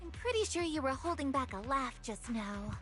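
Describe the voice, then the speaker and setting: High, animated young female soldier. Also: moderate pace, faint music behind. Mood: teasing. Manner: animated, teasing. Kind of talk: video game voice acting.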